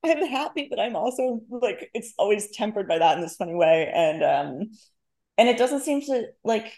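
A woman speaking as a guest author in a casual interview, thoughtful and halting, often correcting herself mid-sentence. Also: medium pitch, big pitch swings, darkish tone. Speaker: guest author, short story writer. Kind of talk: casual literary interview chat.

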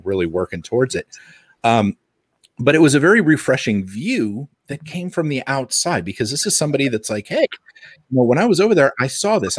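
A man with a lively, swinging intonation co-hosts a casual podcast. He is enthusiastic and jokey, and his mid-pitched voice is recorded close and dry. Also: dry recording. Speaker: podcast host.

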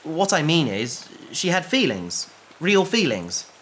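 A man's voice: a little high, big pitch swings, slow pace, fairly bright tone, full character voice. An actor playing an earnest, naive male space researcher in a sci-fi audio drama, speaking politely and a little pompously. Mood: earnest, hopeful.

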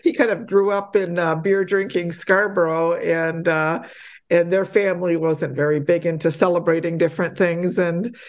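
A middle-aged woman from Poland, a podcast guest chatting casually, laughing often and getting animated when excited. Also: on the low side, normal speed. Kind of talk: casual interview chat.

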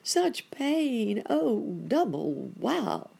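A woman voicing a fussy old gentleman rabbit with a toothache, crying out in high, wailing exclamations. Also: wildly swooping pitch, drawn-out words, gravelly, slightly rough, full character voice. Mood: fretful.

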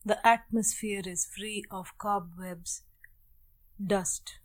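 A woman leading a guided meditation as a teacher, speaking deliberately in short phrases with long pauses. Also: mid-range voice, steady tone. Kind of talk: guided meditation with opening Sanskrit chant.

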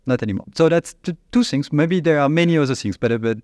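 A man with a French accent, a company CEO, answering interview questions in a halting, searching way with frequent restarts. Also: on the high side, darkish tone, no room sound, clean studio sound. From France. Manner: halting, restarts often, searches for English words.

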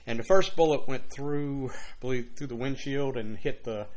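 A man's voice: mid-range voice, normal speed, a little mellow. A man in his sixties from Louisiana, a retired police officer and author, telling long rambling anecdotes in a casual interview, with frequent "you know" asides. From Louisiana, USA. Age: 60s.

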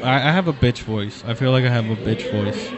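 A man in his twenties with a soft voice, hesitant and halting in casual podcast chat. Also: medium pitch, muffled recording, a crowd in the background. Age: 20s. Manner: hesitant, halting, lots of fillers. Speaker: podcast co-host.

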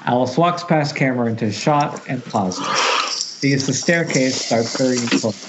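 A man's voice: medium pitch, moderate pace. A man narrating screenplay stage directions at an amateur table read, now and then stumbling and rereading words. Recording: muffled internet call, faint noise.

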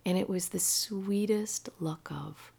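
Soft, gravelly-voiced woman telling a story. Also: mid-range voice. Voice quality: soft, often half-whispered delivery. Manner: gentle and slow-paced. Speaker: animal healer. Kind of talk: personal storytelling.

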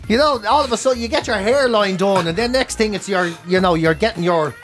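A man's voice: high voice, brisk, fairly bright tone, faint music behind. An Irish man in his forties co-hosting comic radio banter, casual and exaggeratedly exasperated. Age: 40s. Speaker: radio show co-host. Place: radio studio.

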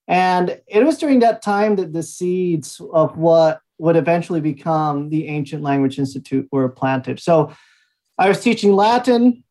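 A man in his thirties from Mexico, speaking as an interview guest, telling his story in a relaxed way with frequent fillers and small laughs. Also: on the high side, measured pace. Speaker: guest, language institute founder. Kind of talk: conversational interview answers.

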